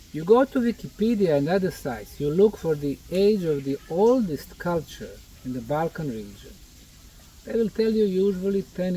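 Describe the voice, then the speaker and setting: A man giving an emphatic, didactic explanatory talk to volunteers in short, deliberate phrases. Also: fairly high pitch, dark tone. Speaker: research project leader addressing student volunteers. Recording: noisy outdoor recording, distant microphone.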